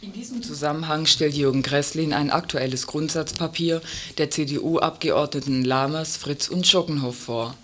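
A man presents a scripted report for a radio magazine in pronunciation. His voice sits fairly high for a male, and he reads the prepared text as a presenter would. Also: moderate pace, a bit bright.

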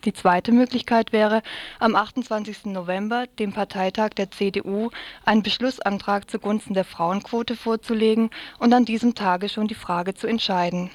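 A woman's voice: mid-range voice, steady tone, moderate pace, no room sound. Female news reader, very dry sound. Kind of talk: scripted news narration.

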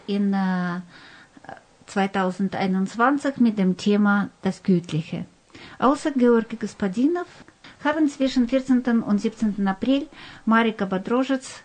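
A woman co-hosting a radio programme, reading a prepared script somewhat haltingly. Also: mid-range voice, darkish tone. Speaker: radio co-host and interviewer. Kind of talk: scripted radio presentation in German.

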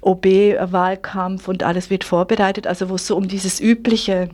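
A woman's voice: medium pitch, flat delivery, moderate pace, a little mellow. A woman interviewing in a casual political discussion, informal and rambling as she circles toward her questions. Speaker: radio magazine interviewer.

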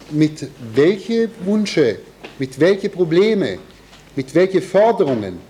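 Guy speaking slowly at a meeting, lots of hesitation, soft dark voice, bit of noise behind. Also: on the high side. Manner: halting, hesitant, searching for words. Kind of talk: spontaneous critical statement at a meeting.

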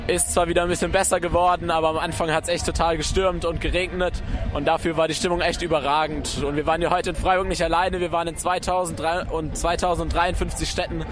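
A man's voice: a little high, level tone, fast talker. A young man working as a climate strike organizer, making announcements and answering questions outdoors. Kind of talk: rally announcements and interview answers. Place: outdoors in a city square.

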